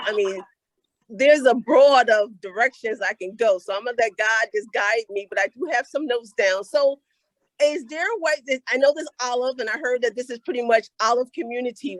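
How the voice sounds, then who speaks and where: A woman's voice: fairly high pitch, brisk, dry recording, low-quality recording. An older woman from Philadelphia, a motivational speaker talking casually to a group on a video call. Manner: warm, encouraging, affirming.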